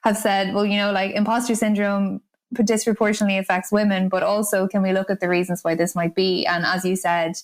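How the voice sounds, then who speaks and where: A woman's voice: mid-range voice, flat delivery, fairly quick. A woman presenting a podcast in a conversational style, reporting and commenting as a co-host.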